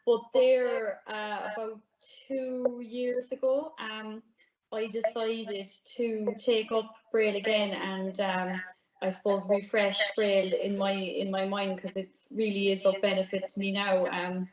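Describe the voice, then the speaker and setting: A young Irish woman, a music PhD student, answering interview questions in a soft-spoken way with frequent ums. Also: mid-range voice, little pitch movement, measured pace, a crowd in the background. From Ireland. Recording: roomy, dull remote audio.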